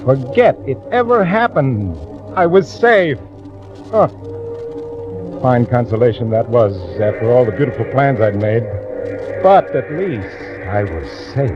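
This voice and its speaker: An actor playing a meek, guilty office employee in 1940s radio drama, confiding as he narrates and halting, deferential when he speaks to others. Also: mid-range voice, very animated. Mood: brooding. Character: meek male office employee, secretive, guilty, nervously deceitful. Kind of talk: 1940s radio acting, first-person narration and dialogue. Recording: vintage radio recording, muffled, music bed.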